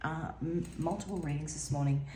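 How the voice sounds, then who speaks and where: Soft-spoken hoarse woman reading tarot. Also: sits low, fairly quick, echoey room, heavy background noise. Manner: musing, soft-spoken, drifts into near-whispers. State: slightly hoarse, losing her voice. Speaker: tarot reader.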